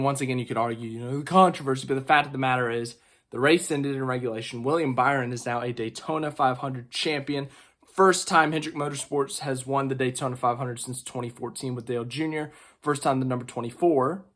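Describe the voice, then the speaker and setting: Man, sick with strep throat, casual race recap, rambling, excitable, average pitch. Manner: chatty, rambling, excitable with many fillers. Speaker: racing vlogger.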